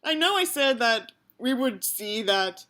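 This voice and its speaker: A woman narrates a story in a high, bright voice. Her delivery is very animated, and she is openly sarcastic about what she reads, swearing freely. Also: unhurried. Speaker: audiobook reader and commentator. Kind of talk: dramatic narration with sarcastic asides.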